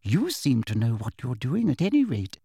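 A man voicing a prim middle-aged witch inspector who sounds falsely sweet and softly threatening. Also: mid-range voice, wildly swooping pitch, normal speed, creaky, husky, no room sound, studio quality, heavily acted. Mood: sugary, coolly polite. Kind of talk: audiobook character voice.

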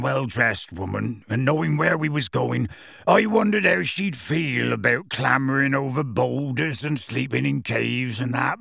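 A huge, gruff half-giant gamekeeper tells his tale. The voice is husky and rough, swinging freely in pitch, with folksy, unhurried phrasing. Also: fairly bright tone, dry recording, studio quality, full character voice. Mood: fond. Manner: folksy, ungrammatical, unhurried storytelling. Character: huge gruff half-giant gamekeeper, rustic, warm-hearted, plain-spoken. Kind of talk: audiobook character voice.

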